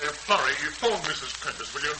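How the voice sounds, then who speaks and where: Casting: man as coaxing family man taking charge, animated and brisk, old radio serial with heavy hiss. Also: on the high side, lightly acted. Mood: urgent, gentle. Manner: coaxing, reassuring. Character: Arnold, worried husband and father, gently taking charge. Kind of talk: 1940s radio acting. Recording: noisy, hissing old radio recording.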